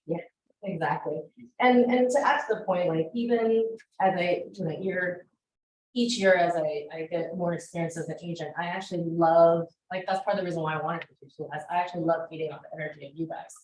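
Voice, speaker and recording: A woman's voice: on the low side, fairly quick, slight background noise. Upbeat woman teaching in an echoey room. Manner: chatty and energetic, many fillers. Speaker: real estate class instructor. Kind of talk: teaching a class discussion. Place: classroom. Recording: roomy classroom echo.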